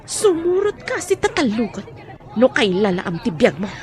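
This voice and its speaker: A woman's voice: fairly high pitch, big pitch swings, normal speed, a crowd in the background, noisy background. An actress playing a menacing woman who threatens and interrogates a captive in an Ilocano radio drama. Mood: threatening. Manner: threatening, shouting. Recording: radio drama with music underneath.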